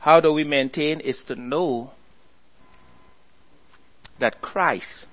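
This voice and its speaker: A man preaching a sermon, earnestly exhorting his listeners and reading scripture aloud slowly. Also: on the high side, dull audio.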